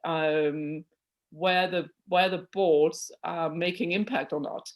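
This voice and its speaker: A woman's voice: low-pitched, steady tone, slow pace. A middle-aged woman from Denmark, a board chair answering webinar questions over a video call in an earnest, emphatic way with frequent restarts. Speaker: guest speaker, board chair.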